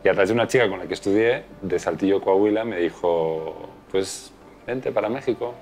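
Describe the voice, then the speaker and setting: A man's voice: sits low, a little fast, darkish tone. A young man from Barcelona, an architect interviewed in a documentary, talking casually and sometimes trailing off. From Barcelona, Spain. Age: young adult.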